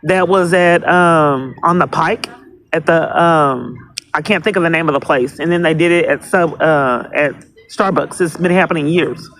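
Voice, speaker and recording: A woman's voice: deep voice, moderate pace, a bit bright. A woman calling police for help, speaking insistently and repetitively and tending to talk over the other person. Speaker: caller to police dispatch. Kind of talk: phone call pleading for help.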